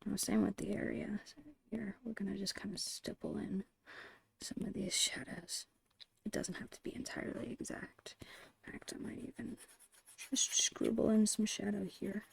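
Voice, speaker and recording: A woman whispering a casual, gentle ASMR drawing tutorial in a breathy, unhurried voice. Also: mid-range voice, steady tone, no room sound, low-quality recording. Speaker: ASMR host and digital artist.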